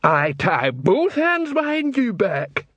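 A man's voice: sits high, wildly swooping pitch, slow, fairly bright tone, dry recording, pitched above the performer's own voice, heavily acted. A man voicing a small, aggressive dwarf constable with a taunting, combative manner.

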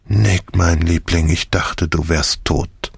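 A man's voice: lowish voice, level tone, normal speed, dry recording, voice pushed up, lightly acted. A man reading an audiobook, voicing a frantic, anguished young man who blurts out urgent questions. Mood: stunned, pained. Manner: bursting out in urgent, loud questions. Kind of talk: audiobook dialogue.

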